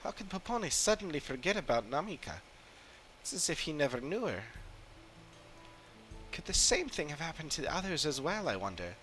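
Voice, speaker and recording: Man playing an earnest, bright-voiced game adventurer. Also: on the high side, sing-song, normal speed, pauses often, faint music behind, pitched above the performer's own voice, low-quality recording. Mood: concerned, resolute. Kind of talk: read-aloud video game dialogue.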